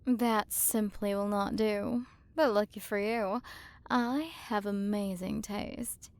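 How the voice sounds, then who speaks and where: A woman performs an imperious alien galaxy queen in an intimate ASMR roleplay. She speaks slowly at a medium female pitch with plenty of vocal fry. Her manner is condescending, flirty and possessive, as if toying with a smaller creature she owns. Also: a little mellow. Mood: boastful, indulgent. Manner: condescending, flirtatious, drawn-out delivery with breathy drops into whispers. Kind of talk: ASMR roleplay, scripted character acting.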